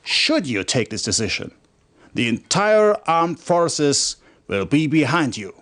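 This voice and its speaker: An intense army officer giving a game briefing, formal and emphatic, voice goes up and down a lot. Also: male voice, a little high, big pitch swings, takes it slowly, fairly bright tone, heavily acted. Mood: earnest, conspiratorial. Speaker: military officer briefing a commander. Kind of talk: dramatic video game cutscene acting.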